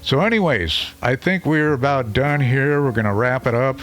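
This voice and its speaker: A man with a deep voice reviews a microphone in a loose, chatty way, full of fillers. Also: expressive, normal speed, a bit bright, faint music behind. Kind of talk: casual product review to camera. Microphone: studio microphone under test.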